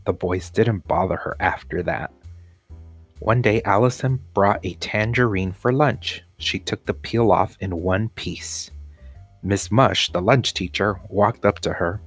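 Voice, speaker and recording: A man's voice: on the low side, unhurried, breathy edge. A man reading a children's story aloud as a teacher would, with music behind him.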